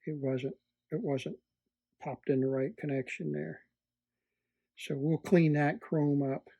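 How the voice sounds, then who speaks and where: Slow-talking man narrating hands-on repair. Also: on the high side, steady tone, vocal fry, dark tone, no echo, highs cut off. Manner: halting, thinking aloud while working. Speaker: electronics repair hobbyist presenting. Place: indoors at a repair workbench.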